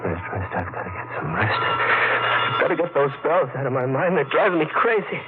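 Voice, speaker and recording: A man's voice: medium pitch, sing-song, normal speed, loud music behind the voice, natural voice. An actor playing a guilt-crazed man haunted by phantom bells, panicky and pleading in 1940s radio acting. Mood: frantic. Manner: panicky, pleading, breaks into shouts. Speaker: murderer unraveling. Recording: tinny 1940s radio broadcast.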